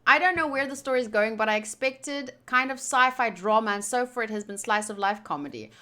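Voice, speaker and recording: High, bright-voiced woman chatting on stream. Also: a little fast. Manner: playful, teasing, animated. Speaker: streamer hosting a game playthrough. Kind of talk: casual livestream chat to viewers.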